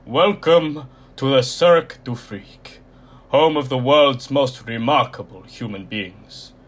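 A man voicing an ancient circus ringmaster, low and croaky, announcing the show in a menacing, theatrical way. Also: slow pace. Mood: ominous, grand.

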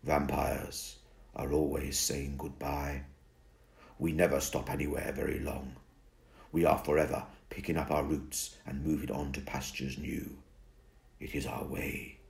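Guy reading an old vampire, super deep gravelly voice, slow and dry, kinda gloomy. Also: little pitch movement, a little mellow, heavily acted. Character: ancient weary male vampire mentor.

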